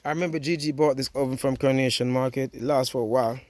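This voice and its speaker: A man's voice: medium pitch, steady tone, quick pace, darkish tone, slight background noise. A man with a Jamaican accent hosting a casual cooking vlog outdoors by a wood fire, chatty and easygoing, often saying "yeah, man".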